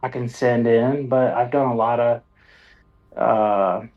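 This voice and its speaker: A man's voice: mid-range voice, steady tone, slow, dull audio. A man, a military veteran and songwriter, telling his story in a candid, rambling, self-deprecating way as an interview guest.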